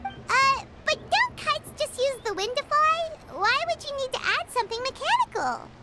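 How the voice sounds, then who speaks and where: Squeaky, perky little game companion. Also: female voice, big pitch swings, moderate pace, very noisy. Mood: curious. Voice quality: squeaky, childlike. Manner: speaks of herself in third person, perky. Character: small, cheerful, childlike female floating companion. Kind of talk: anime-style game voice acting.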